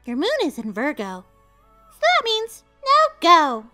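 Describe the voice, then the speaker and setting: A woman voicing a bubbly, scatterbrained little magical game creature with an excitable, sing-song manner. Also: sits high, unhurried, no room sound, soft background music, pitched above the performer's own voice, heavily acted. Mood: excited.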